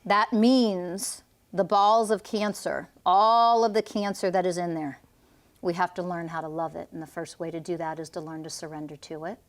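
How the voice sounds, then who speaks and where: A woman's voice: fairly low pitch, steady tone, moderate pace. A woman energy healer guiding a client through a healing session, commanding and intense, with blunt swearing.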